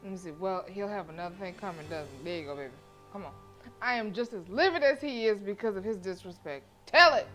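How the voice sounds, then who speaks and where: A woman game streamer reading a visual novel aloud and reacting casually, with sassy, expressive delivery. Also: mid-range voice, fast. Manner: expressive, sassy, talks back to characters. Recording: faint game music behind the voice. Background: game music.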